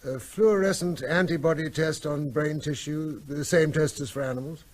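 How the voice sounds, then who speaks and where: Actor doing a grieving dad, quiet, a touch high-pitched, hesitant; radio drama. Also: male voice, fairly even intonation, normal speed, lightly acted. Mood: subdued. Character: grieving father, a veterinarian.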